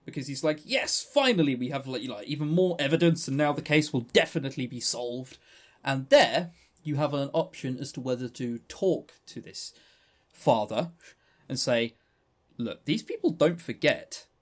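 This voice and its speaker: A man co-hosting a podcast, casually reviewing a game and retelling its story in a rambling, chatty way with stammering restarts. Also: mid-range voice. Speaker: podcast co-host.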